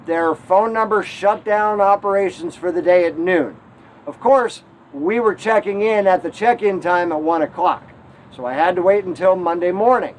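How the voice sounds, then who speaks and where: Man, RV travel host, high voice, wry mildly aggrieved storytelling, faint background noise. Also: low-quality recording. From Connecticut. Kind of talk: travel show narration.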